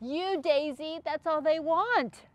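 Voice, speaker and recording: A middle-aged woman hosting storytime, reading aloud to young children with expressive, drawn-out emphasis. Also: sits high, muffled recording, a loud crowd.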